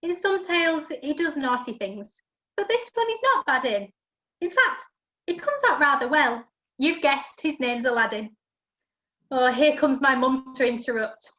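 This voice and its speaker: A woman's voice: high voice, animated, unhurried, pushed and bright, exaggerated voice. A woman playing a chatty, good-natured young laundry lad who narrates a rhyming pantomime, on a lo-fi recording. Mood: chatty, cheerful. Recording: dull lo-fi sound.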